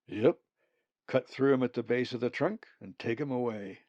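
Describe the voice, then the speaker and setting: A man's voice: medium pitch, normal speed, darkish tone, no room sound, highs cut off, natural voice. A man voicing a blunt, sly, hungry male raven in a storybook, with emphatic, folksy talk.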